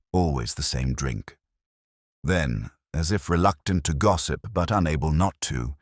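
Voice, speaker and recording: A man playing a brooding, erudite middle-aged detective, narrating an audio drama in measured, reflective, literary phrases. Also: extremely low pitch, dry recording, clean studio sound, exaggerated voice. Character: brooding, erudite male English police detective, melancholy, observant.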